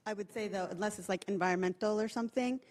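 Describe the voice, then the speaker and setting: A woman's voice: mid-range voice, fast talker, a little mellow. A woman from permit staff giving informal advice, with frequent fillers.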